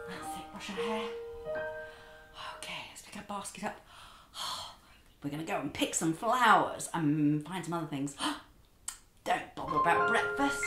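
A middle-aged woman leads an interactive story drama class for young children in a theatrical, coaxing, exclamatory way. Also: fairly low pitch. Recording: roomy echo, loud music behind. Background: loud keyboard music.

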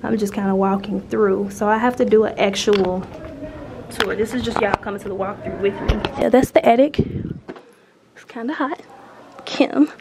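An American woman in her twenties chatting casually and playfully to the camera as a vlogger, in colloquial, animated speech. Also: medium pitch, moderate pace. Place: house, car and restaurant booth. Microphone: handheld camera at arm's length. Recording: noisy handheld camera audio.

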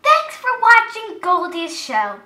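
A woman's voice: very high voice, huge pitch swings, slow, bright, forceful tone, full character voice. A woman voicing a bubbly, girlish, imaginative hand puppet host in a children's show, with an exaggerated singsong delivery. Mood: excited.

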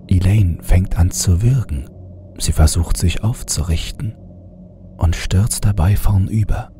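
A man narrating a scripted horror audiobook in a deliberate, suspenseful manner, sometimes hushed. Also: deep voice, a little hiss or hum.

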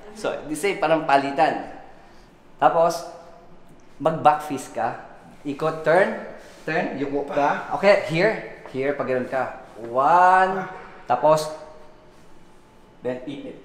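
Filipino male fight coach, higher-pitched, energetic counting and punchy sound effects, very reverberant kitchen. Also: slow, pauses often. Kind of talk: hands-on fight choreography instruction. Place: hard-walled home kitchen, indoors. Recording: echoey room, boomy reverb, slight noise.

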